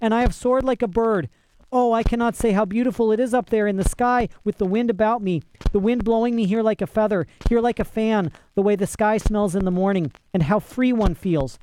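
Storybook inventor, male, frantic and imploring, pitched well up, played by a male reader. Slightly noisy recording. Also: brisk, warm tone, natural voice. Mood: pleading, enraptured. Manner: pleading, breathless wonder. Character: frantic pleading inventor, tearful and enraptured man.

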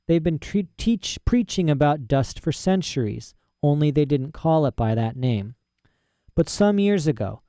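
A man doing a cold, calm aristocrat. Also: a little high, no echo, studio quality, natural voice. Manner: quiet, measured, detached.

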